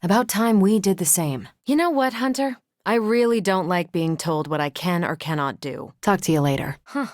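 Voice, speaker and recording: A woman's voice: fairly low pitch, normal speed, few pauses, darkish tone, no room sound, studio quality, close to an everyday voice. A woman with an American accent playing a confident, wry, upbeat superheroine in a video game, with breezy, teasing, casual delivery. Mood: casual, frank. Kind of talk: video game voice acting, short one-off lines.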